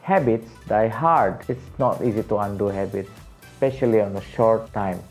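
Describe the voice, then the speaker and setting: A male vocal coach with a raspy voice, teaching casually and jumping to a high, excited pitch when he stresses a point. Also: lo-fi sound. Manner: animated, jumps to high excited pitch on emphasis, laughs often.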